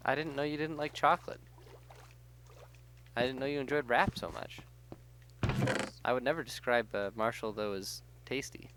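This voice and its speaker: A man's voice: medium pitch, normal speed, pauses often, background noise. A middle-aged man chatting casually as he hosts a gaming session, self-deprecating and joking as he tells little anecdotes. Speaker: gaming show host. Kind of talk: casual chat while playing a video game.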